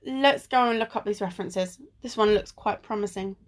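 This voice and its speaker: A woman's voice: mid-range voice, normal speed, lightly acted. A woman acting in an amateur radio play as a sensible, bossy sleuth with an exasperated, chiding manner. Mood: businesslike. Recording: recorded at home in isolation.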